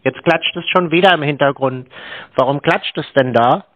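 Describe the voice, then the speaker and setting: A man's voice: a little high, moderate pace, no echo. A man on a conversational phone call, sounding naive and asking insistent questions. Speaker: prank caller to scam call centres. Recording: slightly dull lo-fi call audio.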